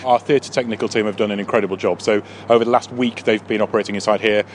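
A man's voice: lowish voice, fairly even intonation, fast talker. A man giving an informal, explanatory interview on location as an official, repeating words as he goes. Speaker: council head of leisure, interview guest. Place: inside a big top tent. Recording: faint on-location background noise.

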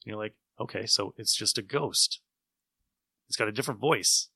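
A man's voice: lowish voice, normal speed, creaky, pushed and bright, breathy edge, no room sound. A man hosting a casual podcast chat about old radio shows, rambling along as an enthusiastic storyteller. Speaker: podcast host.

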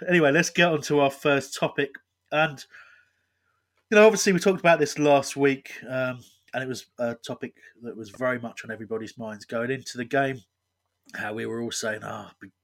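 A man's voice: mid-range voice, moderate pace. Joking man hosting a football podcast. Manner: joking, teasing. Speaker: podcast host. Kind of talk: casual football podcast chat.

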